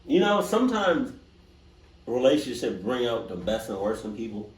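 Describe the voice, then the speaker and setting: A man's voice: mid-range voice, big pitch swings, moderate pace. A man giving casual, rambling, slangy commentary as a reaction video host. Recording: echoey, distant mic, faint noise.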